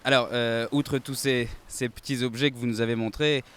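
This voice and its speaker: A man's voice: medium pitch, fairly even intonation, moderate pace, fairly bright tone, natural voice. A man playing a courteous TV reporter asking formal questions outdoors in a comedy film. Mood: polite. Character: polite TV reporter man interviewing on a boat.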